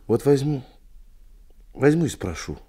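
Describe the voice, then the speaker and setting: A shy, tender middle-aged man in a radio drama. The voice is dark and husky, slow and halting, as he speaks with hushed intimacy. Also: mid-range voice, big pitch swings. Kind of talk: intimate radio play acting.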